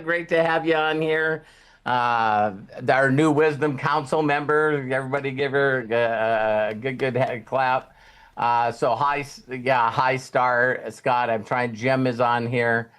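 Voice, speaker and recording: Man hosting a casual, halting group call. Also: medium pitch, fairly bright tone. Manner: halting, repeats phrases for emphasis. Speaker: host of an online community call. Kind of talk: casual, heartfelt group conversation.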